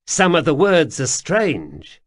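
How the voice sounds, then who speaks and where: A man voicing an excitable, wheedling grimy male cave-dweller who talks loudly and pleads. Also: fairly high pitch, slow pace, pitched above the performer's own voice, heavily acted. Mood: agitated.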